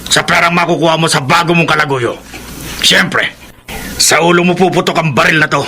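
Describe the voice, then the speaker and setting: An actor playing a menacing, impatient gun-carrying lover in a Filipino radio drama, taunting and threatening. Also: male voice, on the high side, brisk, sharp tone, slight background noise. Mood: taunting menace.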